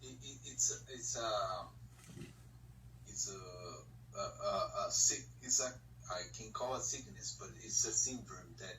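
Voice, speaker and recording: A man from Brazil with a Brazilian Portuguese accent, an English learner who pauses and searches for words. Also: lowish voice, sharp tone, echoey room, far from the mic, slight background noise, low-quality recording. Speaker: English student. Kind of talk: online conversation lesson.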